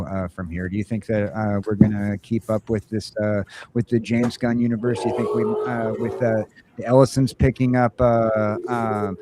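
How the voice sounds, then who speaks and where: A man hosting a casual geeky chat podcast, talking in halting bursts full of fillers and restarts. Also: on the low side, background noise. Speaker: podcast host.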